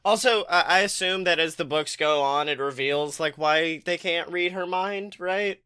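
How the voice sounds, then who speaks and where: A man hosting a comedic podcast with casual, joking, profane banter in an animated manner. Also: on the high side, normal speed, clean tone. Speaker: podcast host.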